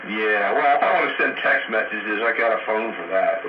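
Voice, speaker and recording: Sardonic american guy on ham radio, lowish voice, muffled signal. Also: moderate pace. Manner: dry, sardonic. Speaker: amateur radio roundtable regular. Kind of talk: casual radio banter. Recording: dull, roomy, noisy radio transmission. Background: radio noise.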